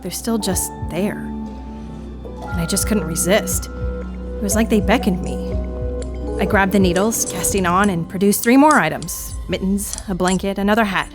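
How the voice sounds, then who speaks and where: A woman's voice: mid-range voice, unhurried, close to an everyday voice. Woman playing a frazzled, dramatic knitter, music behind. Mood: troubled, confiding. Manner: theatrical, wry, confiding. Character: frazzled, dramatic female knitter confessing a demon bargain. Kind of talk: audio drama monologue, dark comedy.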